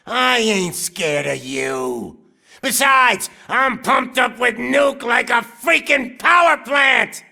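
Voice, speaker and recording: An actor playing a cocky, drugged-up, foul-mouthed gang villain in a video game, brash, showy and taunting. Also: male voice, extremely high pitch, lively intonation, slow pace, creaky, full character voice. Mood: defiant taunting. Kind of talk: over-the-top video game villain acting. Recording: loud music behind the voice. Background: loud music.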